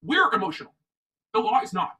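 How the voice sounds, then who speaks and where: Bright-voiced, emphatic woman commentator, off-mic. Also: mid-range voice, sing-song, fairly quick, far from the mic. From United States. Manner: emphatic, repeats points for stress. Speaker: online commentary show host. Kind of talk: opinionated livestream commentary.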